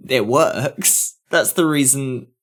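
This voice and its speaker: Male podcast panelist, medium pitch, slow and halting, casual review talk. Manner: halting, searching for words.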